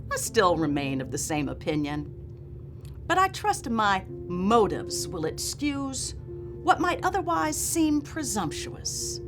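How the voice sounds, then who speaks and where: Lady reading as a dignified formerly enslaved woman writer from the 1860s, solemn memoir, real slow, music behind. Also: mid-range voice, voice pushed up. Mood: modest, formal. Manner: formal, solemn, emphatic. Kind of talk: dramatic reading of a memoir preface.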